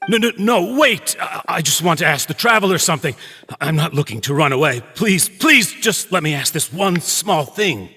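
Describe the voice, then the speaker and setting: A man playing an anxious, desperate prisoner in a video game, pleading in a halting, urgent way. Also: on the high side, lively intonation, bright, forceful tone, breathy edge, long reverb tail, faint music behind, heavily acted.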